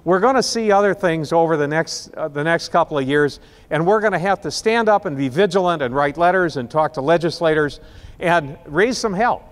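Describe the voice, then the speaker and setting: A man speaks at a rally, his voice pitched a little high and carried by a long, trailing reverb. He is impassioned and emphatic, slowing down hard on the words he wants to land. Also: lively intonation. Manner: impassioned, emphatic, slows down to stress key words. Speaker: rally speaker introducing the next speaker. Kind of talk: speech at an outdoor rally.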